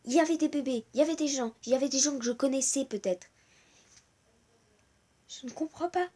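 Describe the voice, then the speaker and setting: A woman's voice: sits high, fairly quick, mellow. A teenage girl from France speaking earnestly to her viewers in a personal video, hesitant and full of fillers and restarts. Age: teens. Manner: earnest, growing indignant. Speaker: vlogger.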